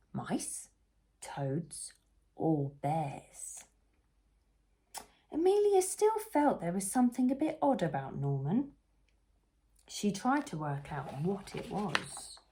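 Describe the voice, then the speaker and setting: Female storybook reader for young children, lively swooping pitch, slow pace, soft-edged mellow tone. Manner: animated, unhurried, asks the listener questions. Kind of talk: expressive storybook read-aloud for young children.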